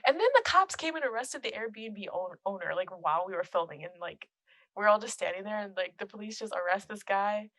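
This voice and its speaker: A young woman with a soft, quiet voice, chatting as a podcast guest. She laughs often and says "like" a lot. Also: medium pitch, expressive, a little fast, dry recording. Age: young adult. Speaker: guest, film composer and musician. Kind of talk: casual podcast chat. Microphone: close podcast microphone.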